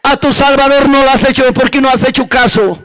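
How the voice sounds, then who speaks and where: Preacher man as high-pitched gloating Satan. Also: steady tone, a little fast, fairly bright tone, faint music behind. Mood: mocking, gloating. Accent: Andean Spanish. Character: gloating, mocking male Satan rising from hell.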